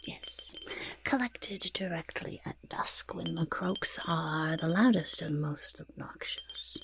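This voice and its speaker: A raspy little goblin croaks softly in a breathy half-whisper. The delivery is slow and swoops up and down, boastful and eager, with fussy repeated words in an ASMR roleplay. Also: female voice, fairly low pitch, wildly swooping pitch, heavily acted. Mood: proud, instructive. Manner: speaks of self in third person, fussy, emphatic repetition. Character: boastful, eager goblin potion-peddler of playful temper. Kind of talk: soft-spoken ASMR roleplay.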